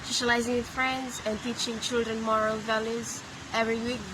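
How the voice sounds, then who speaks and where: A sixteen-year-old girl from Fiji introduces herself steadily in a flat tone. Her voice is fairly high, and loud traffic and clicks run behind it. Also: a little fast. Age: teens. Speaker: student panelist.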